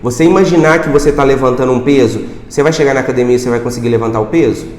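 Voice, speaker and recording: A man's voice: medium pitch, very fast, a little mellow. A man giving a casual video lesson, talking conversationally and often repeating words while he finds his phrasing. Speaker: language teacher. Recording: echoey room with long reverb.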